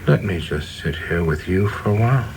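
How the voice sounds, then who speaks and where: A reserved, ambitious government man in a radio drama. His voice is deep, his manner formal, dutiful and controlled, with faint noise in the background. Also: animated, normal speed, vocal fry. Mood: weary, resolute. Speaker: actor in a radio play. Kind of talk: dramatic radio play acting.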